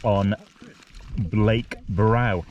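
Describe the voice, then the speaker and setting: A man's voice: lowish voice, drawn-out words, lo-fi sound. A man giving casual, conversational field-test commentary as he vlogs outdoors. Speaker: vlogger, hiking group leader. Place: outdoors on mountainsides. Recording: noisy outdoor recording with clicks.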